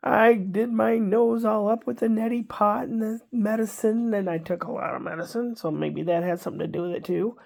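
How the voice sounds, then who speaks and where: An older woman's stuffy, congested voice with a gravelly edge, chatting in a rambling, easygoing way. She talks at a middle pitch and tosses off dry little jokes at herself. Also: normal speed, a little mellow. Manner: rambling, chatty, wry asides. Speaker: vlogger. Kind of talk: casual chat to camera.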